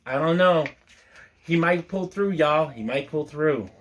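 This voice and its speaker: A male storyteller with a fairly high-set voice reads at a very leisurely pace. He delivers rhymes expressively and drops in casual, folksy asides to his listeners. The manner is playful throughout. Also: measured pace. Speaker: storyteller reading a picture book aloud.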